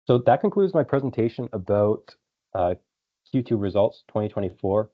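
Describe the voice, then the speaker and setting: A man's voice: lowish voice, animated, moderate pace. A man presenting financial results as a company executive on an earnings call, in a businesslike, explanatory way. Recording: dull lo-fi conference call audio.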